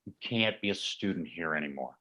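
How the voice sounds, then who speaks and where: A man acting a concerned but unbending school official, speaking in a quiet, measured way in a stage play reading. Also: deep voice, animated. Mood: firm, grave.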